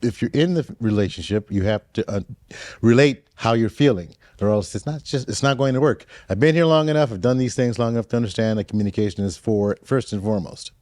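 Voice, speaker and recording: A man in his sixties, a contestant on a dating show, talking in a relaxed, earnest way and often repeating himself. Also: mid-range voice, quick pace, no room sound, clean studio sound. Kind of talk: casual chat.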